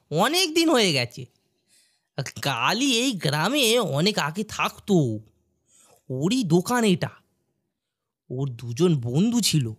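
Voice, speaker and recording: A man's voice: high voice, a bit bright, heavily acted. A man playing an older, inquisitive village uncle who talks in a familiar storytelling way in a horror cartoon.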